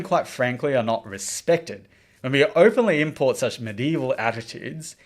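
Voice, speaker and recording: A man from the Maldives hosting a livestream, giving earnest opinionated commentary and reading out viewer comments, with frequent restarts. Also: mid-range voice. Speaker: livestream host.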